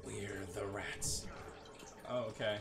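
A man's voice: fairly low pitch, level tone, slow, gravelly, background noise. A man giving casual video game commentary, muttering offhand remarks as he plays. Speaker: gaming video host.

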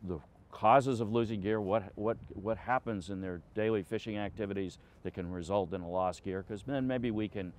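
A man speaking in a documentary interview in a measured, explanatory manner. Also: low voice, darkish tone. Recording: faint engine and outdoor noise.

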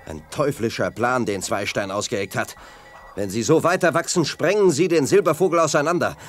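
An actor playing a brave, reassuring young hero in a children's sci-fi radio play, earnest and decisive. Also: male voice, medium pitch, a little fast, a bit bright, natural voice. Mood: grim, reasoning.

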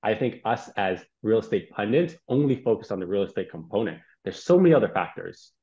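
A man's voice: on the low side, sing-song, brisk, mellow. A man in his thirties chatting casually as a real estate panelist over a video call. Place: indoors, on a remote video call. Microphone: video call, earbuds in view. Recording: dull, lo-fi video call audio.

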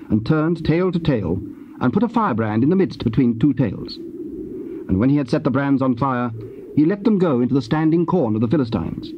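A man giving a solemn, measured scripted narration of scripture. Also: fairly high pitch. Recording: muffled, noisy old cassette transfer.

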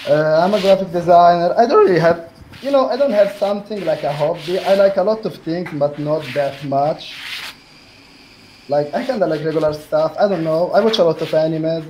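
A man's voice: a little high, animated, moderate pace, a little mellow, a little hiss or hum, rough recording. Laid-back young man on a headset. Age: young adult. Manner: laid-back, hesitant, lots of fillers. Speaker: speed date contestant. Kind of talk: casual chat. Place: indoor room. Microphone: headset on a video call.

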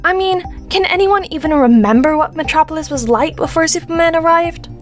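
A female actor as a combative, principled newspaper reporter in an audio drama. Her high voice is articulate and argumentative, with light music underneath. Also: fairly quick, close to an everyday voice. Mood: indignant. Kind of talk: audio drama acting of a TV debate.

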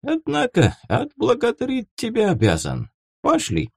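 A man voicing an old highland village elder in an audiobook, calm, terse and commanding. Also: unhurried, gravelly, breathy edge, dry recording, studio quality, full character voice. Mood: thoughtful, firm. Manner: terse, authoritative.

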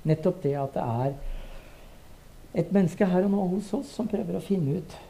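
An older Norwegian man speaks in conversation on a theatre stage. His voice is gravelly and relaxed, and he feels his way haltingly through his sentences, searching for words. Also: sits high, poor audio. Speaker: theatre director and co-writer. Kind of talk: unscripted onstage conversation.

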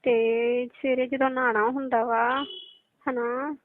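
A woman with a Punjabi accent talks in a high voice, chatting casually and privately. The sound is a narrow, tinny telephone call, close and dry with no room around it. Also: level tone, slow pace, no room sound. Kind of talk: intimate casual phone chat.

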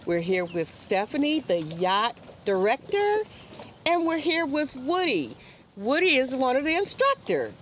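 Female local TV host, middle-aged, higher voice, on-location interview with faint outdoor noise. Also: huge pitch swings, unhurried. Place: outdoors on a waterfront dock. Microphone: handheld reporter microphone.

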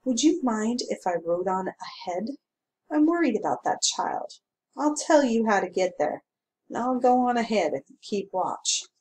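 A woman reads as a gray-bearded older gentleman, courteous and sparing with words, speaking with patient restraint at an unhurried pace in a storybook reading. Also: on the high side, sing-song, voice pushed up, low-quality recording. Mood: tense.

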